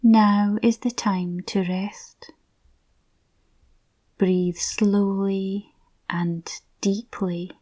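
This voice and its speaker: A woman softly narrating a scripted guided visualisation in a soothing, breathy, near-whispered voice. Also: mid-range voice, level tone, drawn-out words, pauses often.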